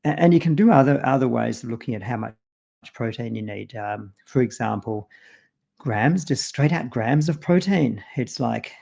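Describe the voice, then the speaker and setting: Gravelly, mellow Australian man explaining. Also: mid-range voice, expressive, normal speed. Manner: loose, full of ums and you-knows, restarts. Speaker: co-host presenting on nutrition. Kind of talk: casual live stream explainer.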